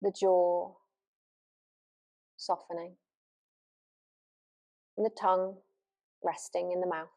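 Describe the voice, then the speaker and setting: A woman yoga nidra teacher leading a guided relaxation meditation gently and without hurry, leaving long pauses. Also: lowish voice, little pitch movement, low-quality recording. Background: new-age music.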